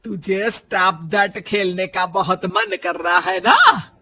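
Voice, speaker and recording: A woman's voice: mid-range voice, slow, pushed and bright, husky, full character voice. A woman voicing a bossy, hot-tempered older mother-in-law in a cartoon story, nagging and sarcastic. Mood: taunting. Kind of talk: Hindi moral-story cartoon voice acting.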